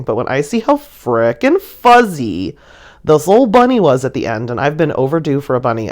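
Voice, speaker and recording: A woman's voice: rumbling low, a little mellow. Giggly woman chatting playfully. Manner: giggly, laughs through sentences; playful, gushing, whimsical. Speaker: artist presenting own painting video. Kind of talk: casual storytelling chat, voice-over to viewers.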